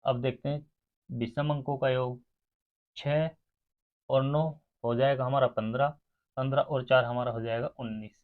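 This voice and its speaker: A man's voice: mid-range voice, fairly even intonation, normal speed, long pauses. A man teaching maths step by step, addressing his students directly and counting sums out aloud. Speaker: maths teacher for exam aspirants. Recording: muffled, phone-like narrow sound.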